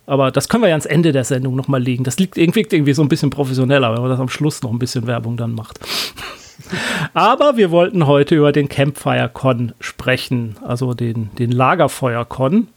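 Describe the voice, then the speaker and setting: A man's voice: mid-range voice, brisk, dry recording. Chatty man hosting a podcast, dry sound. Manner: chatty, hesitant with many fillers. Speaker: podcast host. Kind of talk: casual podcast conversation.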